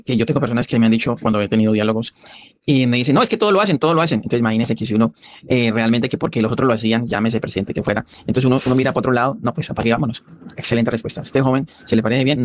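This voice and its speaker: Male radio caller, extremely quick delivery, mid pitch, emphatic spontaneous opinion. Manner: emphatic, repeats phrases. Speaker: radio call-in listener.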